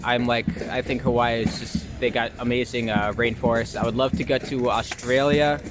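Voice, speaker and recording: A man's voice: mid-range voice, flat delivery, normal speed. A young man chatting casually in an interview, hesitating often and using filler words. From New York. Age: young adult. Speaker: interview guest, actor and filmmaker. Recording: noisy outdoor city traffic.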